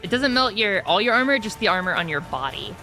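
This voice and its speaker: A woman with a bright voice acting as game master, explaining the action and rules of a tabletop roleplaying game. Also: medium pitch, sing-song, fast talker. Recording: background music under the voice.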